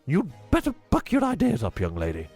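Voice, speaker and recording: A man's voice: huge pitch swings, fairly quick, a little mellow, slightly rough, faint music behind, full character voice. A man voicing a strict, scornful middle-aged mother while reading a children's book aloud. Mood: stern scolding. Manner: shrill, scolding, overbearing.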